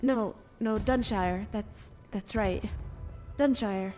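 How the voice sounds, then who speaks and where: A woman playing a frightened but defiant noblewoman in an audio drama, with a halting, stammering, anguished delivery. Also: medium pitch, a little mellow, faint music behind, close to an everyday voice. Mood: grief-stricken. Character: distraught revived noblewoman, mother, frightened and defiant.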